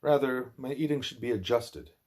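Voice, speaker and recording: A man narrating a spiritual text aloud in deliberate, emphatic phrases. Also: medium pitch, a little mellow. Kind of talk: scripted narration, broken by asides to a small child.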